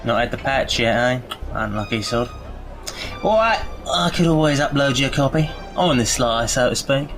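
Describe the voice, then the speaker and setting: A man's voice: mid-range voice, animated, moderate pace, a bit bright, soft background music, lightly acted. An actor playing a cheeky, flippant augmented man in an audio drama. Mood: cocky. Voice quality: chatty, cocky.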